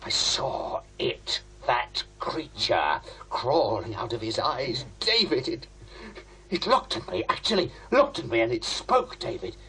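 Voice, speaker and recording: A man's voice: medium pitch, sing-song, a little slow, sharp tone, husky, full character voice, low-quality recording. Possessed, unhinged man, wildly swinging delivery. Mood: haunted, frantic. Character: possessed, hysterical broadcaster raving about a creature. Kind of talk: radio horror drama acting.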